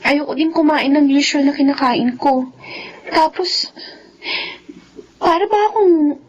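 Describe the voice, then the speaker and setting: High-voiced, anguished young Filipina. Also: female voice, measured pace, dull audio. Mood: anxious. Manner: pleading, emotional. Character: anguished young pregnant woman abandoned by her boyfriend. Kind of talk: Filipino radio drama acting.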